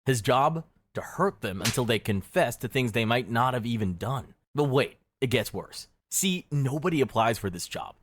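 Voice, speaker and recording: A man's voice: mid-range voice, lively intonation, moderate pace, hardly any pauses, slight background noise. A man reading scripted second-person narration for a history explainer video in a darkly wry, dramatic storytelling manner.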